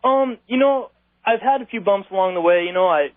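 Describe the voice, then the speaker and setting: A man in his twenties calling a radio show by phone, chatting informally and a bit haltingly. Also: sits high, dry recording. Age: 20s. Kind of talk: casual phone-in chat. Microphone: telephone. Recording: narrow tinny phone line on radio.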